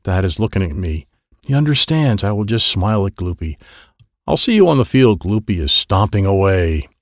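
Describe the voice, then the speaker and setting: A man playing an excitable, anxious little boy. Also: low-pitched, moderate pace, no room sound, studio quality. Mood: relieved. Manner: simple, breathless present-tense childlike phrasing. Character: excitable, anxious little boy telling his own adventure. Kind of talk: dramatic audiobook narration.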